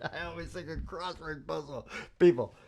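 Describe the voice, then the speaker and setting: A woman's voice: low voice, moderate pace, creaky, a little mellow. A woman hosting a show with casual, rambling banter, philosophizing and joking in a colloquial way. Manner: philosophizing, jokey, colloquial with swearing. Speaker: radio show host and musician.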